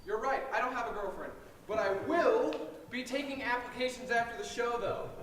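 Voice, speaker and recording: A man's voice: very high voice, normal speed, a bit bright, distant mic. A man playing a goofy, lovelorn survival-guide presenter in an amateur stage comedy, recorded from afar in an echoey, noisy hall. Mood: hopeful, pleading. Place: indoor stage of a school performance.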